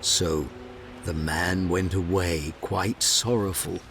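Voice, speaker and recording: Casting: male fairy tale narrator, lowish voice, measured and unhurried, over clear background music. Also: steady tone. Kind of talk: storybook narration.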